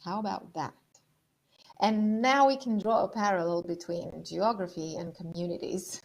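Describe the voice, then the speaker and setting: A woman's voice: on the low side, fairly even intonation, normal speed, creaky, a little mellow, rough recording. A middle-aged woman from Romania giving an online leadership masterclass, chatting through her slides with fillers over a video call. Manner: conversational, thinking aloud with fillers. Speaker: leadership coach.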